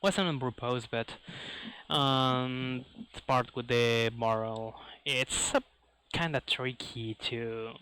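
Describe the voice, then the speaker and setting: A man's voice: medium pitch, fairly even intonation, takes it slowly, clean tone, low-quality recording. A man giving live speedrun commentary in non-native English phrasing, talking through what he is doing as he plays. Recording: game music behind the voice. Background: loud video game music.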